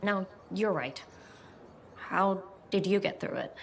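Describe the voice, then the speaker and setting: An actress playing a reserved, apologetic woman in a video game, speaking in a measured, hesitant and subdued way. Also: medium pitch, creaky, slight background noise. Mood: regretful. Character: reserved, apologetic woman who avoids talking about feelings. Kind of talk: video game dialogue, quiet conversation.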